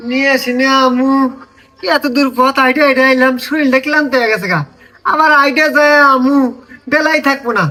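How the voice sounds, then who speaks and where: A woman's voice: high voice, fairly even intonation, a little fast, pushed and bright, soft background music, low-quality recording. A woman playing a sly male village swindler in a comic skit, with a rural Bangladeshi accent and a pleading, melodramatic delivery. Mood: wheedling. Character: sly village swindler man, whining and wheedling.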